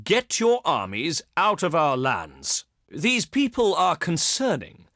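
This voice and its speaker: Pompous, theatrical ancient Greek diplomat. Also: male voice, a little high, wildly swooping pitch, a bit bright, dry recording, studio quality, exaggerated voice. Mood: stern, indignant, then earnest, concerned. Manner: pompous, courtly, measured with dramatic pauses. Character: haughty, wry ancient Greek diplomat, adult man. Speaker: voice actor in a strategy game. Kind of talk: theatrical scripted game dialogue.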